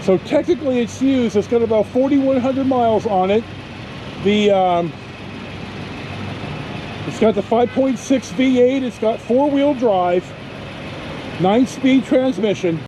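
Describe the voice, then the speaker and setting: A man's voice: very high voice, a little slow, pauses often. A man telling a casual, rambling vlog story in a plain-spoken, unpolished way. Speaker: vlogger. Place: inside a moving car and outside on a car lot. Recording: noisy vehicle and outdoor background. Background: car and road noise.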